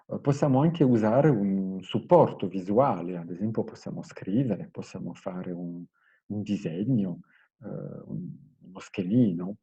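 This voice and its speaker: A man giving an explanatory language lesson as a teacher, in a measured, hesitant way, searching for his words. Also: fairly low pitch, mellow, husky, muffled recording. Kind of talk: explanatory lesson, semi-improvised.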